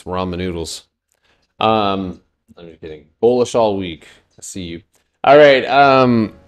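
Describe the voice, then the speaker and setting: Casual thirty-year-old man reading charts live. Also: lowish voice. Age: 30s. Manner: loose, joking with chat, many fillers. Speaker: stock trading streamer.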